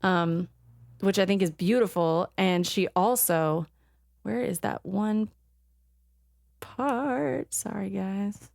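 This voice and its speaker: A woman co-hosting a podcast in casual chat, halting and full of ums as she looks things up. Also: mid-range voice, gravelly, a little mellow, dry recording, studio quality. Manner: chatty, halting, many ums while searching notes. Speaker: podcast co-host.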